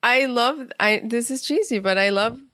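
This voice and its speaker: A woman talking casually and informally as an interview guest, chatty and animated. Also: on the high side, normal speed, no room sound, studio quality. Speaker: guest, playwright and author.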